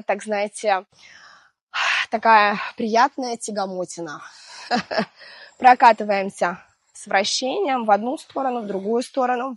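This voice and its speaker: A woman in her thirties, hoarse from a cold, guiding an exercise routine outdoors by the sea in a chatty, gentle way with small laughs. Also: on the high side, no echo. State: hoarse from illness. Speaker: fitness instructor. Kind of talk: guided exercise instruction. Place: outdoors on a seaside promenade.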